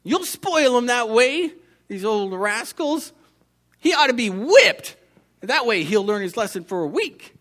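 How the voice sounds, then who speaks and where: A man voicing a cross old woman who sells apples, scolding in a high, drawn-out voice. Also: very animated, exaggerated voice. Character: scolding old female apple seller, gruff grandmother.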